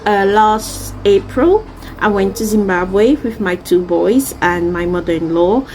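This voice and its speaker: A woman from Central Africa talks casually to her viewers in a vlog. Her voice sits at an average pitch, and she is effusive, leaning on repeated words for emphasis. Faint ambient noise runs behind her. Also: measured pace, darkish tone, low-quality recording. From Central Africa, Congolese and Cameroonian. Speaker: vlogger. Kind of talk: casual chat to camera.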